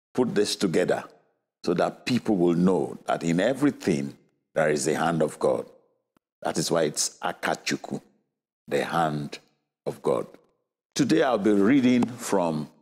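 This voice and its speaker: A man with a Nigerian accent, an author presenting and reading his own novel in a measured literary style. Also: sits low, husky. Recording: loud music under the voice. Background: loud music.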